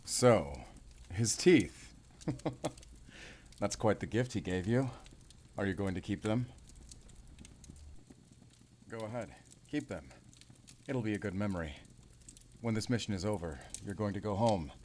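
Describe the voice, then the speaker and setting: Man playing a deep-voiced werewolf hunter. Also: animated, slow pace, pauses often, darkish tone, slight background noise. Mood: tender, wistful. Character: seasoned male werewolf hunter, commanding yet tender. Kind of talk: cinematic audio roleplay acting.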